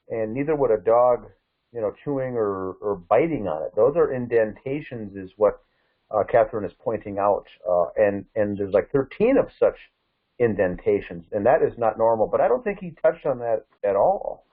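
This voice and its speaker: A man on a conference call explaining something conversationally, haltingly and with repeated words. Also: lowish voice, animated. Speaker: participant in a case discussion. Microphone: phone or conference call. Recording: thin phone-like conference line.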